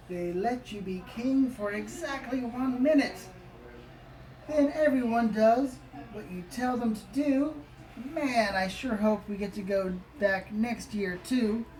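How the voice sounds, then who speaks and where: A woman reads a children's rhyming story slowly and expressively, her voice on the high side. She sounds some way from the microphone in a very echoey space. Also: a little mellow. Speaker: storybook reader. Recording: echoey, distant, faint background noise.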